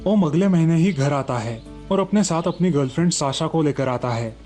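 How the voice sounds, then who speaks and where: A man's voice: a little high, lively intonation, moderate pace, darkish tone, faint music behind. A man narrating a scripted children's story as the storyteller.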